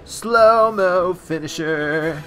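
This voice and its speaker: Man, let's play host, raspy stuffed-up voice, excitable, faint game music behind. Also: sits high, very slow. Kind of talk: gameplay commentary.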